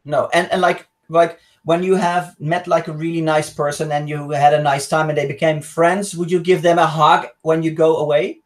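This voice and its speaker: A man's voice: a little high, level tone, normal speed, hardly any pauses. A man with a Dutch accent, a guest in a casual live chat, curious and full of questions. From Netherlands.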